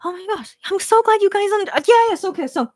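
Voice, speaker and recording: A woman's voice: very high voice, lively intonation, fairly quick, a little mellow, no room sound, studio quality. Earnest woman chatting with viewers. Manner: hesitant, many restarts and "right?" tags; earnest, careful to explain her view. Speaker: livestream host reading chat. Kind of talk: casual chat with viewers.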